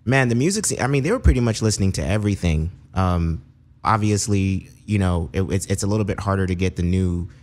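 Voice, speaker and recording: Low-voiced easygoing man from Seattle. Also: expressive, fairly quick, darkish tone. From Seattle, Washington. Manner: easygoing, lots of fillers like "you know". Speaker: musician guest. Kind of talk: relaxed interview conversation. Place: radio studio.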